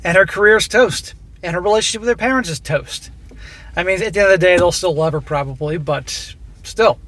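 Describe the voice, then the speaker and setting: A middle-aged host with a high-pitched voice reads a listener's story and breaks in with blunt, profane advice. His voice is going hoarse. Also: fairly quick, a bit bright. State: losing his voice. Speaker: host reading listener stories. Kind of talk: reading a submitted story aloud with commentary.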